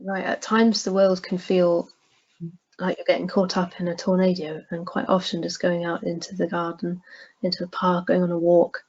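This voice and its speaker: A woman co-presenting a workshop, gently relaying and affirming written comments on an online call. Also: on the low side. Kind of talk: relaying and responding to chat comments. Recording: muffled, faintly noisy video call.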